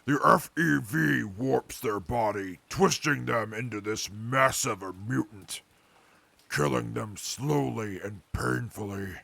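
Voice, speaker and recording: Man acting a very gravelly, cold wasteland cynic. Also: mid-range voice, slow, bright, forceful tone, dry recording, heavily acted. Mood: grim, callous. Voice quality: gravelly, husky. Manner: curt, dry, callous. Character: gruff, cold, sardonic, short-tempered male wasteland traveller. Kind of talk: post-apocalyptic audio drama acting.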